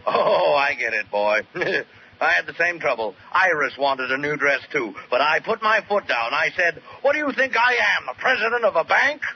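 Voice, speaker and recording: Blustery, animated radio sitcom boss. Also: male voice, a little high, moderate pace. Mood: hearty. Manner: blustering, hearty. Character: blustery, jovial middle-aged bank president, the boss. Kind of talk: 1940s-50s radio comedy acting. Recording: muffled old radio recording, some noise.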